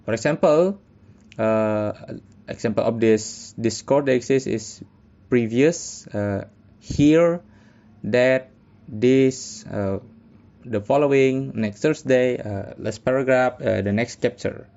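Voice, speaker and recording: A young man works through a class presentation, stopping often on fillers and restarts as he reads. His voice is mellow and dark. The recording is dull and lo-fi. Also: mid-range voice. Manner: halting, many fillers and restarts, reading notes.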